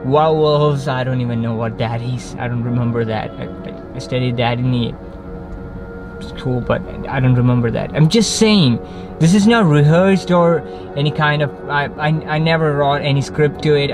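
A man's voice: a little high, moderate pace. A young man from Kerala with a Malayali accent, talking unscripted to a camera in a parked car, restarting and correcting himself often. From Kerala, India. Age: young adult. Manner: rambling, frequent restarts and self-corrections. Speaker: vlogger. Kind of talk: unscripted casual talk to camera. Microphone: camera on the dashboard facing him. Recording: background music under the voice. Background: background music.

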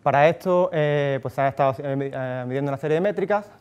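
Cuban man giving a relaxed tech talk. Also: a little high, fast talker, clear, resonant tone, highs cut off. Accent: Cuban Spanish. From Cuba. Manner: casual, thinks aloud with many fillers and restarts. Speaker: conference speaker. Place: conference room, audience present. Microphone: handheld microphone.

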